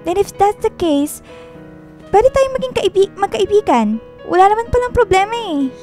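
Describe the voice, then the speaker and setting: Bubbly sweet college girl in a radio drama, super high voice, teasing and coaxing, music underneath. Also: moderate pace. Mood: frank, teasing. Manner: playful, coaxing, expressive. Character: bubbly, teasing, sweet young female student, popular girlfriend. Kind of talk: radio drama acting with Taglish dialogue. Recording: music bed behind dialogue.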